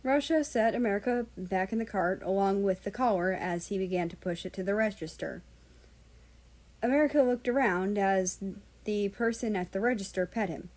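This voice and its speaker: A woman's voice: mid-range voice, moderate pace, darkish tone, low-quality recording. A woman narrating a fan-written story aloud as its reader.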